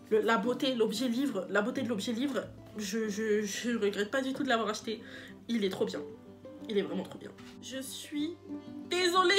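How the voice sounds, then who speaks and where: A young woman with a stuffy, cold-congested nose, chatting casually and enthusiastically about books she read, often searching for words and repeating herself. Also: on the high side. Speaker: book vlogger. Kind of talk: casual book review vlog.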